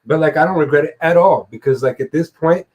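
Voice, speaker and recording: A young man hosting a live streamed interview, talking in a relaxed, rambling way with loose fillers and the odd swear word. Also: on the high side, fast, dull audio. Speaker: podcast host and musician. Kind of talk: casual interview chat. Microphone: remote streaming call mic.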